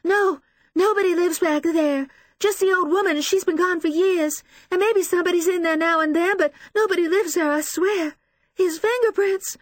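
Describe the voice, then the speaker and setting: Audiobook role: distraught mother, extremely high voice, halting, breathless and weepy, close dry recording. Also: lively intonation, no echo. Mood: tearful, pleading. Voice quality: tearful, wailing. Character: distraught, frightened, defensive grieving mother. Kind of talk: dramatised audiobook dialogue.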